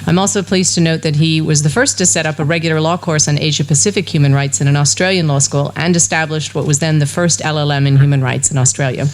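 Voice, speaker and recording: A woman with a low voice chairs a university conference session. She reads a prepared introduction in a formal tone. Faint noise sits under the recording. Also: fairly even intonation, fast talker, hardly any pauses. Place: university lecture hall.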